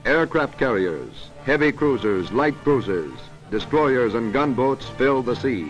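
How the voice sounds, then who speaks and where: A man's voice: mid-range voice, lively intonation, a little slow, highs cut off, music in the background. An American man narrating a scripted wartime newsreel in a dramatic, declamatory, patriotic manner, on a vintage 1940s soundtrack.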